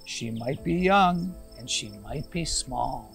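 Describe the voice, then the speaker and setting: Jolly storybook cicada, read by a man, declamatory announcer, measured pace. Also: mid-range voice, lively intonation, gravelly, fairly bright tone, faint music behind, heavily acted. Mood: proclaiming. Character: male cicada speechmaker, proclaiming and jolly.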